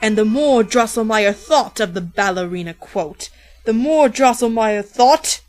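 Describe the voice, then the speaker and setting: A woman reads a rhyming parody storybook as its narrator, slowly and theatrically. She is playful and dramatic, leaning on key words, with music underneath. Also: fairly high pitch, animated, darkish tone. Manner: dramatic, playful, drawn-out emphasis on repeated words.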